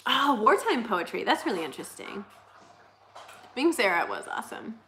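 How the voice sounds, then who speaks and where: A young woman with a high, slightly squeaky voice, hosting a casual livestream and chatting while reading out viewer comments, laughing often. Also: sing-song, normal speed, noisy background. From United States. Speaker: livestream host.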